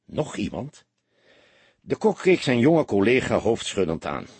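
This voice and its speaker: A man reading a story as an audiobook narrator, in expressive scripted narration. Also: medium pitch, normal speed, breathy edge, dry recording.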